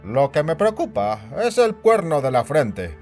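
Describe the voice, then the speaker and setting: A high, very animated voice for a scheming, melancholy boss acted by a man in an audio drama. He is bossy and worried, delivering lines with deliberate theatrical authority. Music plays underneath. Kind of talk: scripted Spanish audio drama acting. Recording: music bed behind the voice.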